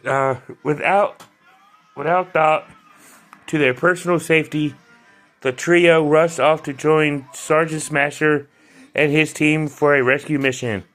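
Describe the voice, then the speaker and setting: A man's voice: on the high side, steady tone, measured pace, fairly bright tone, soft background music. A man giving a loose, chatty video review of an action figure, with frequent restarts and fillers. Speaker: toy reviewer.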